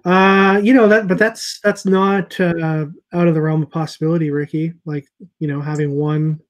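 A middle-aged man hosting a casual video call chat as a comic shop owner, thinking aloud with many pauses and fillers. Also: fairly high pitch, fairly even intonation. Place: small room with shelves of comics behind. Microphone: earbud headset on a video call.

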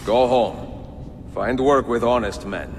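An actor playing a calm, stern older male assassin in a video game, terse and commanding. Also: mid-range voice, takes it slowly. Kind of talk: dramatic game dialogue. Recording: echoey stone chamber, noisy ambience.

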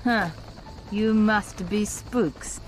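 An actress playing a sharp-tongued, scornful biker in a video game, curt and sardonic. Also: female voice, medium pitch, drawn-out words, slight background noise.

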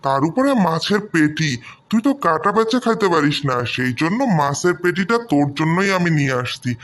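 Man acting a doting, high-voiced elder brother. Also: animated, a little fast, fairly bright tone. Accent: Bangladeshi. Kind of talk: animated moral story dialogue.

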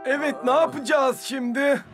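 An eager little cartoon construction vehicle. The voice is extremely high and earnest, helpful in manner, with light music underneath. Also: female voice, steady tone, moderate pace. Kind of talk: dubbed children's cartoon acting.

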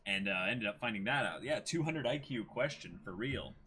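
A young man hosting a livestream, chatting casually and reading aloud in an animated way. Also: lowish voice, moderate pace, a little hiss or hum. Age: young adult. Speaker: stream host. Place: small indoor room with backdrop.

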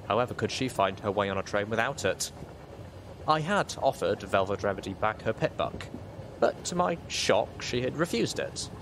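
A man with a fairly low voice narrates a story from a script in the first person. Clear noise sits behind his reading. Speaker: audiobook reader, show host.

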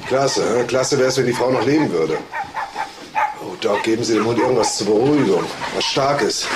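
A man's voice: mid-range voice, animated, normal speed, natural voice. Guy acting a bossy shrewd detective chief, dry and probing, crime audio drama, noisy background. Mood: brisk, dry. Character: shrewd, commanding male police detective chief. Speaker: actor in a crime radio play.

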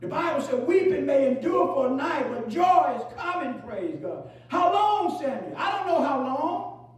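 A man with a very high voice preaches in a booming, echo-filled space, heard from well back from the mic. He repeats phrases, punctuates them with praise and hallelujah, and swings from shouts down to low murmurs while calling for the church to respond. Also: big pitch swings. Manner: fills pauses with "praise God" and "hallelujah"; calls for congregation responses. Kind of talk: preaching a sermon. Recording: very echoey, from far off.

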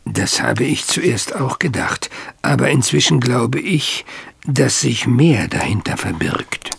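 Casting: worried landed squire, heavily creaky, close dry sound, hesitant and courteous, audio drama. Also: male voice, mid-range voice, animated, slow, a bit bright, no echo, exaggerated voice. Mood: troubled, recounting. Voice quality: husky, gravelly. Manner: polite, hesitant, troubled. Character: anxious English country squire, earnest and troubled. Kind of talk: German audio drama acting.

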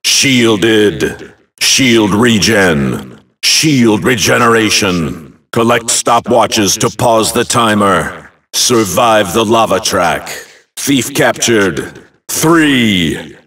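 Deep, commanding fantasy game announcer. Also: male voice, animated, very slow, a bit bright, recorded from a distance, full character voice. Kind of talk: short announced game voice lines.

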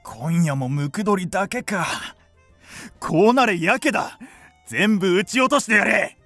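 Man as hot-headed village hunter, radio drama, highish voice, rough, blustering, animated. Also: measured pace, no room sound, soft background music, full character voice. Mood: cocky, determined.

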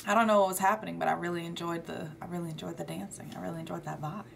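A woman's voice: lowish voice, steady tone, racing, gravelly, a little hiss or hum. A young woman hosting a reaction vlog, chatting casually and swearing freely with plenty of "like". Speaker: reaction video host. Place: small room in front of green screen.

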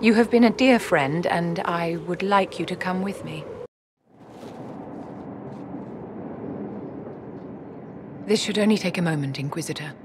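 A woman's voice: lowish voice, brisk, background noise, close to an everyday voice. An actress playing an elegant, commanding noblewoman in a video game, speaking formally with refined composure and endearments. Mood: gracious. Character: poised, elegant noblewoman enchantress, affectionate yet commanding. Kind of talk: dramatic video game acting.

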